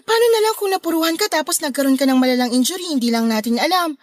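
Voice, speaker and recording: A woman with a Filipino accent playing a protective, caring older sister and young mother in a radio drama, concerned and gently scolding. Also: high-pitched, animated, racing, dry recording, clean studio sound. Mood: indignant. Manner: concerned, scolding on brother's behalf. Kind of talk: Tagalog radio drama acting.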